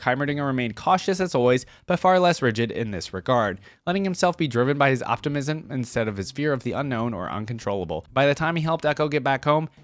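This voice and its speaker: A man's voice: medium pitch, no room sound. A man reading an article aloud for a reaction video, adding casual conversational asides as he goes.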